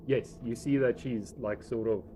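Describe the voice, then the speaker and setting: A South African man narrating a tabletop game as game master, in a halting, conversational way. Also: medium pitch, fairly even intonation, warm tone. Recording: noisy room recording.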